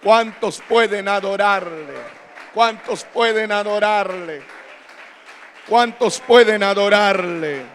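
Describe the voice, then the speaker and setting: A man's voice: high-pitched, slow pace, fairly bright tone, in a large hall, some chatter behind, slight background noise. A man preaching as a pastor, delivering an impassioned, emphatic Bible-study sermon with repeated questions to his congregation. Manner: emphatic, exhorting. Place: large reverberant church hall before a congregation.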